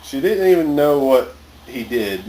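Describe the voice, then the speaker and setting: A man's voice: mid-range voice, lively intonation, measured pace, mellow, close to an everyday voice. A man playing a bumbling, overeager government agent in an amateur comedy skit. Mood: intrigued, self-important. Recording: echoey room with faint hiss.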